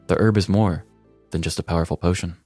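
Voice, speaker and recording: A man's voice: low-pitched, fairly even intonation, fast, darkish tone, faint music behind. An American man co-hosting a podcast, with a wry delivery that moves between scripted storytelling and casual chat. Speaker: podcast co-host.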